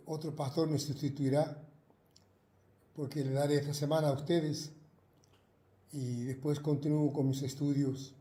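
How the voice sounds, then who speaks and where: A man from Argentina preaching and praying into his own video, slow and measured. His voice sits a little higher than most men's, and the room rings with echo. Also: dark tone. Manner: slow, earnest, fervent praying with repetitions. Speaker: pastor. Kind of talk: recorded sermon and prayer. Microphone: self-recorded video.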